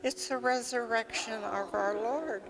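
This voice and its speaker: A woman's voice: on the high side, unhurried. A woman churchgoer speaking earnestly in an interview. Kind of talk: interview soundbite.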